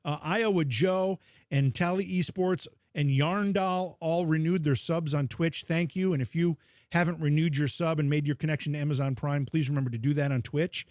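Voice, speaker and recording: A man's voice: fairly high pitch, steady tone, moderate pace, darkish tone, dry recording, clean studio sound. A man co-hosting a casual podcast livestream, teasing his partner and reading out thank-yous to supporters in a chatty way. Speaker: podcast co-host.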